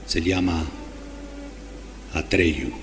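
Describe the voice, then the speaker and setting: A solemn imperial messenger of fantasy radio theatre, speaks in a low register. He is slow, deliberate and portentous, with music playing clearly underneath. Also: steady tone. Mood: grave announcing. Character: grave, solemn male messenger and sage of the imperial tower. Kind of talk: radio drama acting.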